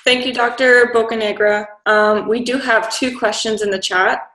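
A woman's voice: fairly high pitch, steady tone, normal speed, bright, forceful tone, roomy sound, low-quality recording. A woman moderating a webinar who politely reads chat questions to the guest.

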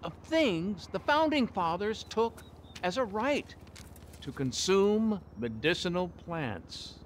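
A man's voice: sits high, very animated, slow, heavily acted. A man acting in a video game cutscene as a zealous, rambling stoner activist who campaigns for legalization in an earnest, grandiose way. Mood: eager, earnest. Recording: game scene with street noise.

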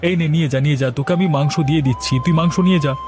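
A man's voice: a little high, rapid-fire, natural voice. A man voicing a kindly, chatty middle-aged village butcher in a cartoon, familiar and exclamatory. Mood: generous. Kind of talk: cartoon voice acting. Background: music.

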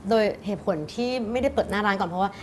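A woman's voice: mid-range voice, very fast. A woman in her thirties talking casually as an interviewed cafe owner, explaining at length and mixing in English words. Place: small indoor cafe room. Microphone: lapel mic. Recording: clearly noisy background ambience.

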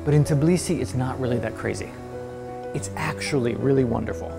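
A man in his forties presenting as a host to camera, explaining in a measured, scripted way, recorded on a lapel mic. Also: medium pitch, gravelly, music in the background. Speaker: host of an electronics build channel. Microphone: lapel microphone.

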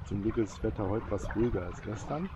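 A man talking casually while sailing, thinking aloud in a relaxed, matter-of-fact way, recorded outdoors on a small boat. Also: fairly low pitch, quick pace. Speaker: sailing vlogger. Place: outdoors on a small catamaran on open water. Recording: wind and water noise behind.

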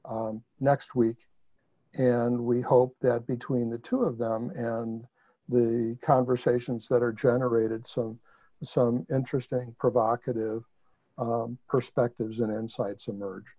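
A man's voice: medium pitch, level tone, measured pace, mellow, no room sound. A man with a Chicago accent presenting a webinar over a video call, talking conversationally with fillers and restarts. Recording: muffled video call audio.

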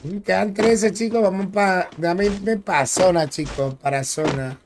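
A man with a Dominican accent chats through a live gaming stream, his voice sitting notably high. He stays upbeat, greets people by name and repeats phrases in quick bursts, and loud background noise runs under everything he says. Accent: Dominican Spanish. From Dominican Republic. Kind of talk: casual live-stream gameplay chat.

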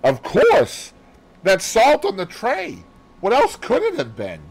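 A man voicing an excitable, self-satisfied gentleman sidekick with an emphatic, pompous manner, as audiobook dialogue. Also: medium pitch, measured pace, breathy edge, heavily acted, poor audio. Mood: excited triumph.

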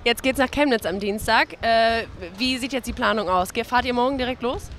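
A woman's voice: a little high, a little fast, a bit bright, a little hiss or hum. A young woman holding a handheld mic, upbeat and friendly as she interviews players and the coach after a game in an indoor arena. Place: indoor arena, in front of a sponsor wall.

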